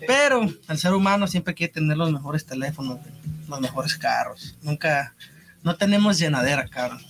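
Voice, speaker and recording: Man, Mexican accent, fairly high voice, podcast co-host, casual, slangy, filler-heavy. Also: lively intonation, normal speed, fairly bright tone, faint music behind.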